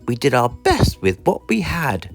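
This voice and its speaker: Slow, very animated British woman reading a storybook. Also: a bass voice, slightly rough, soft background music. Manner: expressive, theatrical. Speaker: storybook reader. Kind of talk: animated scripted storybook narration.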